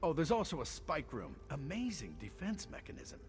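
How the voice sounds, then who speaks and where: An actor playing a chatty, sardonic prison engineer in a video game. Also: male voice, a little high, huge pitch swings, moderate pace. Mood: sardonic, explaining. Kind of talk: video game character acting. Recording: faint game music under the voice.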